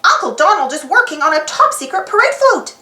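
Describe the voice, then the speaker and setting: A woman voicing an eager, curious young cartoon duckling boy with an excited manner. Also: high voice, fairly quick, reverberant, far from the mic, pitched above the performer's own voice, heavily acted.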